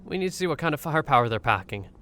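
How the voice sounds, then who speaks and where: Man acting a lively, bright-voiced alert soldier. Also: fairly high pitch, rapid-fire, a little hiss or hum. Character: alert, commanding male squad soldier.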